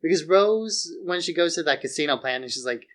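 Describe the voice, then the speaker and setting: Higher-voiced man chatting casually about film. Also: animated, brisk. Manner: rambling, opinionated, peppered with "like". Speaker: podcast co-host.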